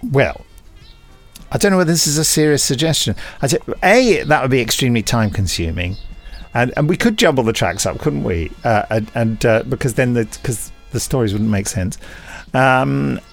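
A man's voice: mid-range voice, expressive, normal speed, vocal fry, soft background music. A man co-hosting a podcast in jokey, casual chat, punning and playfully mock-indignant. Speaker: podcast co-host.

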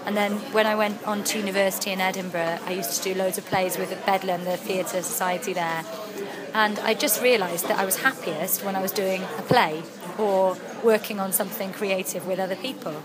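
A woman's voice: medium pitch, brisk, loud music behind the voice. A young Welsh woman, an actress and writer, chatting freely in an interview with lots of ums. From Wales. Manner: chatty, animated storytelling with frequent ums. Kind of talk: casual interview chat.

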